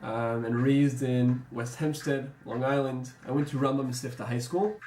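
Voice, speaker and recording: A young American man who served as a soldier, speaking in a measured, reflective way with some ums. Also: medium pitch, a little mellow, roomy sound, a little hiss or hum. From United States. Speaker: former soldier interviewee. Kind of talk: earnest interview testimony.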